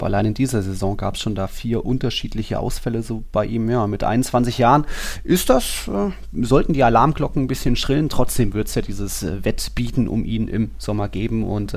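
A man's voice: fairly low pitch, vocal fry. A man hosting a casual football podcast, chatting colloquially and acting out imagined quotes as he goes. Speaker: podcast host.

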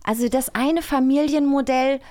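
A woman's voice: high voice, steady tone, normal speed, dark tone. A woman co-hosting a casual talk show, speaking colloquially and with emphatic, opinionated wording. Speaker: co-host of an audio drama magazine show.

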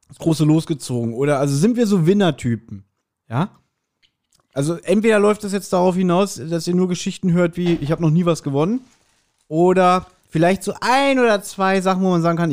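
A man's voice: sits high, darkish tone. A man chatting casually and teasingly as a podcast co-host.

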